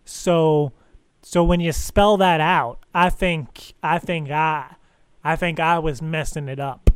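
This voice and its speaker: A man's voice: fairly high pitch, steady tone, clean tone. A man playing a bumbling, barely literate father on a prank call, earnest, stuttering and repeating his words. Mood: confused, insistent. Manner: halting, stuttering repetition of words. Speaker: prank caller. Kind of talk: improvised prank phone call.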